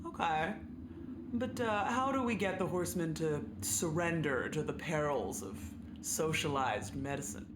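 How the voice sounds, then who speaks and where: Blunt, slow-witted warhorse, very low for a woman, halting radio-comedy delivery, heavy background noise. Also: lively intonation. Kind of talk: comic radio play acting. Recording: roomy, very loud background noise.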